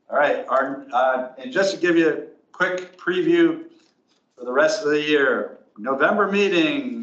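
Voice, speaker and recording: A man's voice: medium pitch, fairly bright tone. Distant man chairing a meeting. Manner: meandering, thinks aloud and hedges. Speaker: commission chair. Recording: distant, roomy, dull lo-fi meeting room.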